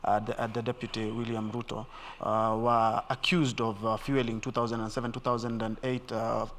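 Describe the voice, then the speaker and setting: A male TV host asks questions in an average-pitched voice, with frequent fillers. A faint hiss sits under the studio recording. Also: little pitch movement, moderate pace, fairly bright tone. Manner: many fillers and brief affirmations. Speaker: TV talk show host. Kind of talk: studio TV interview.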